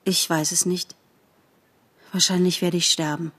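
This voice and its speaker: A woman voicing a weak young male fawn in an audiobook, quiet, earnest and resigned, with grown-up seriousness. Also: lowish voice, fairly even intonation, a little slow. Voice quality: quiet, faint. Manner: grave, simple, grown-up seriousness. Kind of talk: audiobook character voice.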